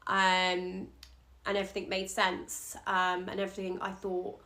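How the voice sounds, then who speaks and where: A woman astrologer, a podcast guest, talking candidly and casually about her work in a relaxed, soft-spoken way. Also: medium pitch, unhurried. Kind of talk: casual interview talk.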